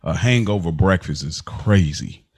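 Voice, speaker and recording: A man with a fairly low voice hosts a casual recap podcast. His talk is slangy and informal, moving through scenes in a conversational way. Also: expressive, a little slow. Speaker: podcast host.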